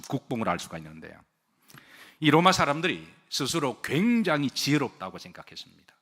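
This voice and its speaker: Lower-voiced pastor preaching in a reverberant hall. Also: male voice, a bit bright. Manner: asks the congregation questions and has them repeat phrases; jokes lightly with the congregation. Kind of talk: sermon. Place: church sanctuary.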